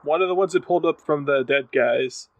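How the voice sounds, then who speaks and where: A man's voice: a little high, fairly quick, dry recording. A man chatting casually as a player in a tabletop role-playing game.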